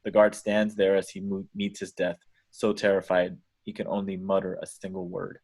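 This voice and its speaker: A man's voice: deep voice, completely flat delivery, moderate pace, darkish tone. A man reading screenplay action descriptions as scripted narration at a table read. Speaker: stage directions reader.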